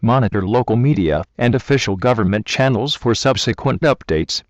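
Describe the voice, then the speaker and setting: A man's voice: mid-range voice, expressive, normal speed, no room sound. A man with a synthetic-sounding, formal and impersonal delivery, reading a scripted official emergency broadcast announcement. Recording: dull lo-fi alert audio.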